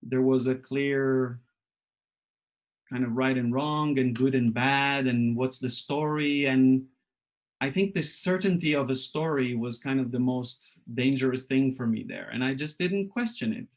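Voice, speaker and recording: Man from Israel, mid-pitched, reflective presenter, halting and thoughtful delivery. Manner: thoughtful, searching, with frequent pauses and restarts. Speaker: workshop presenter. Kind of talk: reflective personal talk.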